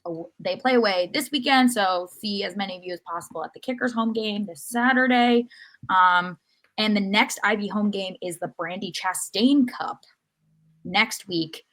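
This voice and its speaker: A woman's voice: medium pitch, moderate pace, a bit bright, poor audio. Enthusiastic woman hosting a sports podcast. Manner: enthusiastic, chatty. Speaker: podcast host. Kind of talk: casual sports podcast chat.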